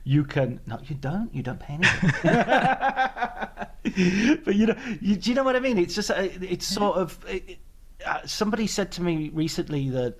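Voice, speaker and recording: A man hosting a podcast, chatting in a friendly way, laughing easily and thinking aloud. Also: on the high side. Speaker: podcast host. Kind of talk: casual interview chat.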